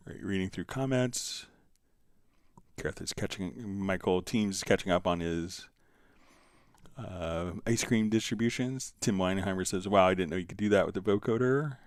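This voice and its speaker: Low-voiced man hosting a casual livestream. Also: moderate pace, no room sound, clean studio sound. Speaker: livestream host answering software questions. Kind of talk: casual live Q&A, reading out viewer comments.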